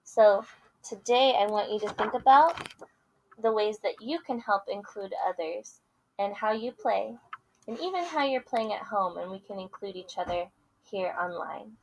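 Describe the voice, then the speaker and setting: A woman's voice: mid-range voice, measured pace, a little hiss or hum, rough recording. A woman teacher addressing young readers in a picture-book read-aloud lesson. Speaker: teacher reading aloud to students.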